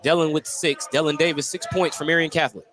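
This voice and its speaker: A male radio announcer with a fairly high voice calls a basketball game live. He describes the action in short, clipped phrases and gets excited on big plays. The noisy ambience of an indoor gym sits clearly behind him. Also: fast talker. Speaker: play-by-play radio sports announcer. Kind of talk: live basketball play-by-play commentary.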